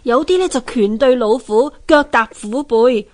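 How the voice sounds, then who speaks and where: An actress playing a sculptor's wise wife in a Hong Kong Cantonese radio drama, gently advising in a coaxing, expressive way. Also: female voice, a little high, a little slow, lightly acted. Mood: thoughtful.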